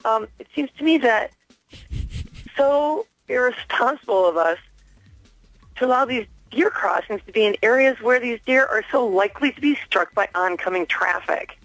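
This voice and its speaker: A woman's voice: a little high, animated, moderate pace, a bit bright, no room sound. A woman phoning a radio station as a listener, making an earnest, insistent complaint in a hesitant, spontaneous way. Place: phone call into a radio station. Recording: dry telephone line to radio studio.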